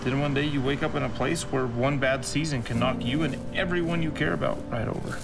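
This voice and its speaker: A man's voice: mid-range voice, brisk, creaky. An American man giving an intimate, earnest, plain-spoken film narration, as a farmer speaking to his child. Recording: film voice-over under loud music. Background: loud music.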